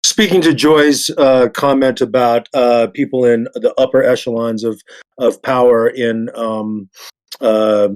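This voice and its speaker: Halting man with mid-range voice. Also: mid-range voice, fairly even intonation, rough recording. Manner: halting, measured, frequent pauses and repeats. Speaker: panelist. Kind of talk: casual panel discussion.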